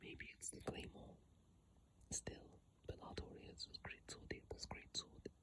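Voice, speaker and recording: A person whispering in an ASMR style, breathy and airy, reading aloud with informal, halting, unhurried asides into a phone microphone up close. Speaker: ASMR content creator. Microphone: iPhone microphone, close up.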